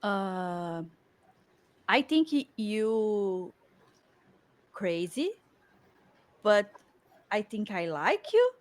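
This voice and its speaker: A woman playing a tabletop game, speaking in a hesitant, halting way. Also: mid-range voice, sing-song, no room sound. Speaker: player. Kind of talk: tabletop role-play.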